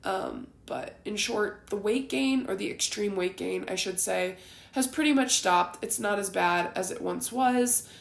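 Woman, 20s, podcast host: raspy, gravelly, rambling and candid, recorded in an echoey room. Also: medium pitch, lively intonation, unhurried. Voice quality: raspy and groggy. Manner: candid, rambling, with frequent ums and likes. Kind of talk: casual solo ramble.

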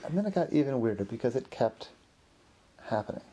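A man's voice: medium pitch, very animated, fairly quick, mellow, slight background noise, poor audio. A man improvising a story in a casual, rambling, conversational way, as the host of a story podcast. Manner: rambling, conversational, acts out characters' remarks in an exasperated way.

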